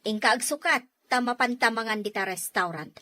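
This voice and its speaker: A woman playing a caring, concerned aunt in a family drama, expressive and sympathetic in manner. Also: a little high, a little fast. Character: caring, concerned aunt who indulges her niece. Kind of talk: Ilocano family drama acting.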